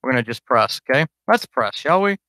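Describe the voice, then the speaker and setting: A man's voice: mid-range voice, very animated, a little fast, sharp tone, no echo. A man chatting casually and unscripted to his supporters on a livestream, rambling, informal and self-deprecating as a podcast host.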